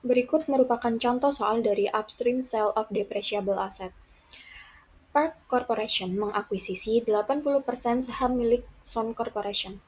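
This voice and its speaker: A woman's voice: fairly high pitch, normal speed, husky. A young woman, reading out a student presentation in a formal, methodical way. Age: young adult. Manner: formal, methodical read-out delivery. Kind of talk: reading out a worked accounting example.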